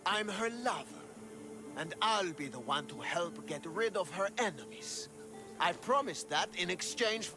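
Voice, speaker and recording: Jealous lover for a video game: high, very animated, haughty and sneering, music behind. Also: male voice, measured pace. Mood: smug, jealous. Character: jealous, lovesick young man giving mercenary orders. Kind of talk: video game dialogue acting.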